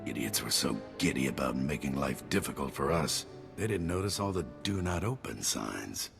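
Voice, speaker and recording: An actor playing a gruff, battle-weary general with a clipped, wry military delivery in a video game cutscene. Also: male voice, very deep voice, lively intonation, normal speed, over music, full character voice. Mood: grim, resolute. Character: gruff, weary, wry male army general under siege.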